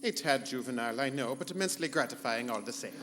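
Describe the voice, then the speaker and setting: A man's voice: mid-range voice, lively intonation, fast, lightly acted. A man playing a pompous, scheming, morality-free ship's computer in a live comedic sci-fi radio play. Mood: imperious. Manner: pompous, theatrical, formal wording. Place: convention hall stage before live audience. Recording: echoey live hall, humming background noise.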